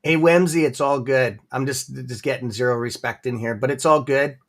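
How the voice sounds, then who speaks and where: A man's voice: medium pitch, normal speed, a bit bright. A Canadian man rambling through stock holdings in a casual livestream chat, with many fillers and a friendly tone. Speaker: guest presenter on a stock livestream.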